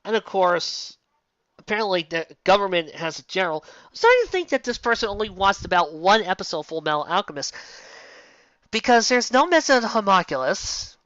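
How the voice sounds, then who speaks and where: A woman with a medium-pitched voice delivers an off-the-cuff review in an incredulous, exasperated way. She halts and rephrases as she goes. The recording is close and dry, with a slightly muffled, lo-fi sound. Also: very animated, fairly quick, fairly bright tone, no echo. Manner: incredulous, exasperated ranting with rhetorical questions. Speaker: fan fiction reviewer and commentator. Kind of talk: casual, unscripted opinion commentary.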